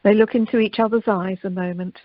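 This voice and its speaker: A woman's voice: medium pitch, fairly even intonation, fairly quick, no room sound. Woman narrating, muffled phone sound. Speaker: narrator of an amateur radio play. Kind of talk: scripted narration, reading stage directions. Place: recorded remotely by telephone during lockdown. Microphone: telephone. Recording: muffled telephone conference line.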